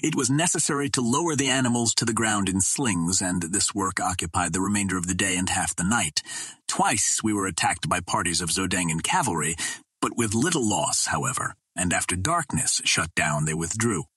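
A man reading a vintage adventure novel as a narrator, in a formal, measured storytelling manner. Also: sits low, expressive, pushed and bright, breathy edge, no echo. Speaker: audiobook narrator.

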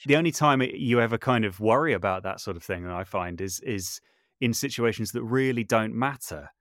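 Thoughtful low-voiced man in conversation. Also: fairly quick, fairly bright tone, no echo, studio quality. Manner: thoughtful, hesitant, self-correcting with repeated words. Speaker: podcast guest, composer and voice artist. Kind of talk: reflective conversational interview.

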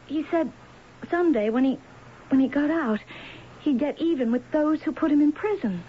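An actress playing a frightened, desperate young wife in a 1970s radio drama, with halting, anxiously repeated words. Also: female voice, high voice, lightly acted. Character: frightened, desperate young wife fearing her imprisoned husband. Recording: muffled, hissy 1970s radio broadcast.

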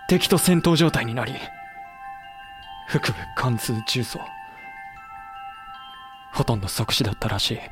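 Guy playing a composed samurai official telling of a lost war, measured and grave, mid pitch, music behind. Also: close to an everyday voice. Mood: somber, urging. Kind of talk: period voice drama acting and narration.

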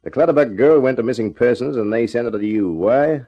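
An actor playing a wry, tough private eye who also narrates, in clipped 1950s radio detective style. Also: male voice, medium pitch, brisk, dull audio, natural voice. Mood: probing, insistent.

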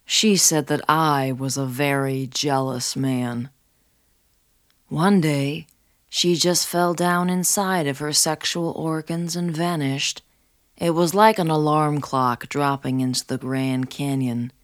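A woman hosting a podcast, reading scripted narration and poetry in a measured, literary way. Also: low voice, steady tone, no room sound, clean studio sound. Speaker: podcast host.

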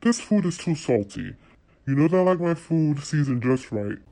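A man playing a calm, authoritative husband in an acted scenario, measured and condescending in manner. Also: on the high side, gravelly, low-quality recording. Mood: mildly disappointed. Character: calm, authoritative husband, mildly disappointed, indulgent.

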